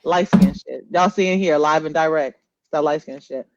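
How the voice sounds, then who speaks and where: A woman's voice: low-pitched, normal speed, a little mellow, dry recording, lo-fi sound. A woman co-hosting a podcast in casual, slangy banter, teasing and emphatic, repeating herself for effect. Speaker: podcast co-host.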